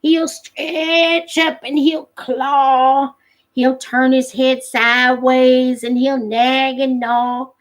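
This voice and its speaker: A woman's voice: high voice, flat delivery, very slow, voice pushed up. A woman voicing a patient, practical backwoods father and hunter in a storybook, explaining things in homespun rural dialect. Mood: instructive, folksy.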